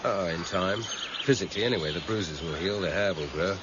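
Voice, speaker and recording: A senior English detective played by a man in a radio crime drama, with a low voice and deliberate, clipped delivery. He is weary and measured, carrying quiet authority. The recording is muffled, with clear background noise. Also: natural voice. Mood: weary, reflective. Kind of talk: 1990s British radio drama acting. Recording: muffled, noisy old radio broadcast recording.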